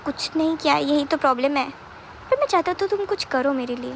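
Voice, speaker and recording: A woman's voice: high voice, lively intonation, a little fast, a little hiss or hum. A woman voicing a smug, controlling male captor in a told story, taunting and unbothered as he commands. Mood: taunting, cool.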